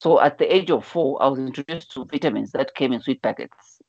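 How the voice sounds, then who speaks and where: A man's voice: medium pitch, a little fast, vocal fry, darkish tone, no echo. Earnest man from Zambia on a dull call. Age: 40s. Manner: earnest storyteller, repeats words when starting a thought. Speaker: life coach guest. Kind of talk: telling his life story in an online interview. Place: indoor room at home. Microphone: video call, wired earphones. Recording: dull lo-fi video call audio.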